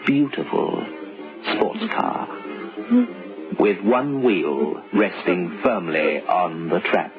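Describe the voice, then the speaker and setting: An actor playing a grave male storyteller, narrating an old-time radio suspense tale with dramatic, deliberate phrasing. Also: deep voice, sing-song, full character voice. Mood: ominous. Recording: lo-fi old radio recording with music.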